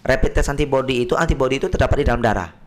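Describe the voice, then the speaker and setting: Slightly hoarse doctor explaining. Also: male voice, mid-range voice, very fast. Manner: patient, uses simple everyday analogies. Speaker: doctor guest. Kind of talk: explanatory podcast talk. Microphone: podcast microphone.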